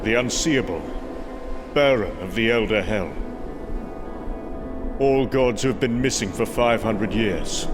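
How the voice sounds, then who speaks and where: An actor playing an earnest, grave warrior prince and god of thunder, speaking formally and solemnly in an audio comic drama. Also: male voice, mid-range voice. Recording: music bed under the voice. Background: music.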